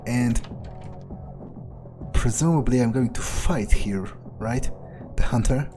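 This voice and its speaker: Man playing a slow-talking, low-key vampire vigilante. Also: warm tone, natural voice. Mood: musing. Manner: offhand, muttering to himself. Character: callous, laid-back male vampire vigilante. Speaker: let's player role-playing in character. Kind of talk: in-character gameplay commentary, thinking aloud. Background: game music.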